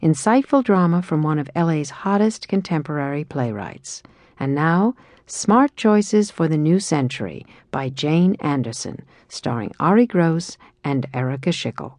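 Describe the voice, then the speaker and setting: A woman announces an audio theatre production from a script. Her voice is notably low and dark, recorded close and very dry in a studio. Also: expressive, a little slow, dry recording.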